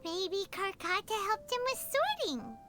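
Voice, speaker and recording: A tiny fairy companion from a cartoonish game. The voice is extremely high, squeaky and childlike, swooping with naive earnestness over loud children's-style music. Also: female voice, big pitch swings, moderate pace. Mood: earnest, puzzled.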